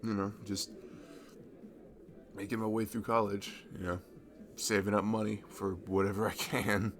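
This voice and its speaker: A man's voice: fairly low pitch, little pitch movement, normal speed, slight background noise, lightly acted. A man playing a friendly, easily flustered college-age guy in a naturalistic ASMR roleplay, hesitant and self-deprecating. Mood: bashful, chatty. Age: young adult.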